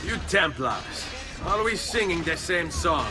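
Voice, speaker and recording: Cocky young Italian assassin, Italian accent, lively swooping pitch, wry, video game, music behind. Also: male voice, unhurried. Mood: defiant, taunting. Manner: wry, taunting.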